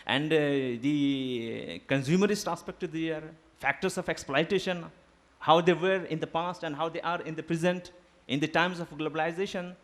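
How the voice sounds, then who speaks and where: Urdu-native man giving a formal lecture. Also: fairly high pitch. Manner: deliberate, academic, pauses with fillers. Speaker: guest lecturer. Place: seminar hall.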